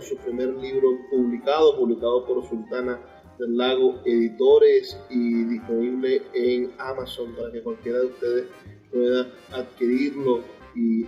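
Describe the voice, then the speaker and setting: A man with a Venezuelan accent hosting a literary radio interview, speaking as presenter and reader. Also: mid-range voice. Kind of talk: literary radio interview, reading poetry aloud at times. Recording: dull lo-fi radio sound, music behind. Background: music.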